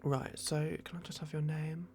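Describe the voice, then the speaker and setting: A man in an ASMR roleplay, playing a polite, flustered vampire at a clinic in a hushed, hesitant, apologetic way. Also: a little high, level tone, normal speed, slight background noise.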